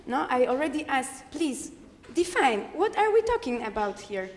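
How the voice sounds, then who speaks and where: A woman takes the floor at a conference, her voice sitting a little high. She speaks directly and insistently, with frequent "uh" pauses as she works through her points. The room rings with a long echo, and a faint hum sits underneath. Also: sing-song. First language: Polish. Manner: direct, insistent, many hesitations and fillers. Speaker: audience member, philosopher. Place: conference hall.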